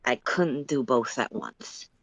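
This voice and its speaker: A woman voicing Solix, a blunt, thoughtful, stubbornly caring male character, speaking slowly and deliberately in a story reading. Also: very deep voice, no echo, voice pushed down, exaggerated voice. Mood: slow, musing. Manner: slow, deliberate delivery. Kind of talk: dramatic fan fiction reading. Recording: muffled voice-call audio.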